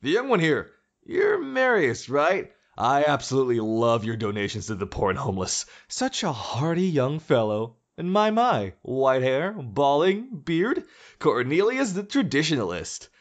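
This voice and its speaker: A man's voice: a little high, sing-song, a little slow. A man playing a gleefully menacing young tyrant president in an audio drama, sarcastic and mock-friendly. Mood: mock delight.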